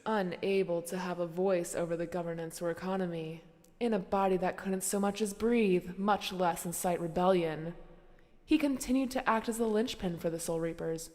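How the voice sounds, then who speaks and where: A woman performs a grave, dignified guardian woman for an anime dub. Her voice is fairly low and formal, solemn in delivery, and rings with long hall reverb. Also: moderate pace, darkish tone, lightly acted. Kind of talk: anime fan dub acting.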